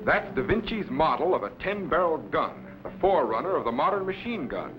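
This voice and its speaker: Scholarly male captain, authoritative, crisp delivery, old sci-fi serial, slightly high voice, muffled vintage broadcast. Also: big pitch swings, brisk. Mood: instructive. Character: commanding, scholarly male time-machine captain. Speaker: captain and mentor. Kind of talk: 1950s science fiction serial acting. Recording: dull vintage broadcast with loud music.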